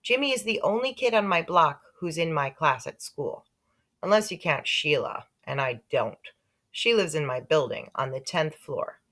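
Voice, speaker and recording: A woman reads a children's story aloud with plenty of expression. Her voice sits a little low but is bright and forward, and it moves widely up and down as she narrates. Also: moderate pace. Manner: animated, with character voices for dialogue. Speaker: audiobook-style reader.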